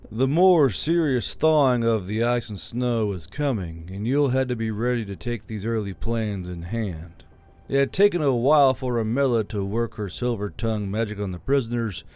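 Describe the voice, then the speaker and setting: A man's voice: mid-range voice, darkish tone. A man reading scripted fiction as an audiobook narrator.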